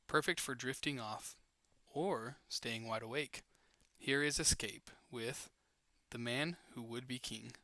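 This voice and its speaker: Bright, polished male narrator. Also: mid-range voice, takes it slowly, dry recording. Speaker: announcer introducing the upload. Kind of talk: scripted promotional narration.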